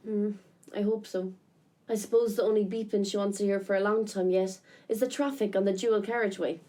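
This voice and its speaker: Woman acting mellow, pensive Irishwoman. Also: mid-range voice, fairly even intonation, brisk, natural voice. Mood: pensive, wry. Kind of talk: naturalistic radio drama dialogue.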